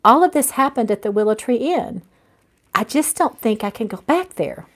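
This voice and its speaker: A woman's voice: on the high side, animated, moderate pace. A woman voicing a guarded, heartbroken businesswoman in a romance novel, confiding and rueful. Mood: bitter, hurt. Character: weary, heartbroken businesswoman, guarded about lost love.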